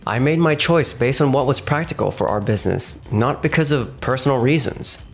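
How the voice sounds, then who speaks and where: A man voicing a calm, businesslike young male realty manager in a fan manga dub, who also narrates, measured and firm. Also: mid-range voice, gravelly, slightly rough. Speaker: narrator and lead voice actor. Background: faint music.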